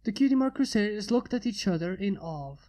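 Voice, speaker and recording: A woman narrates a story in an even, steady reading voice. Her tone is mellow and warm, at an ordinary pitch. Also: fairly quick. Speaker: fanfiction reader.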